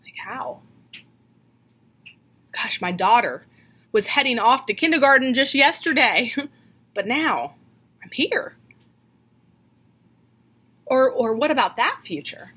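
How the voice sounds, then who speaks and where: A woman in her thirties preaching a sermon from a home office into a webcam, earnest and questioning, slowing down to stress key lines. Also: fairly high pitch, expressive, pushed and bright, breathy edge. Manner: earnest, questioning, slows for emphasis. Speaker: pastor. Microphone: webcam at desk.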